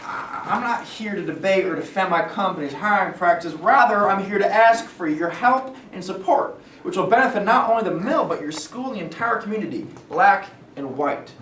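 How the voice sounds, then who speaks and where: A man in a staged play reading, playing a smooth, pressuring company businessman who persuades with veiled threats. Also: sits high, lively intonation, moderate pace, hardly any pauses, a bit bright. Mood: persuasive. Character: smooth, pressuring steel company businessman, wealthy family heir. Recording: echoey room, distant mic, faint noise.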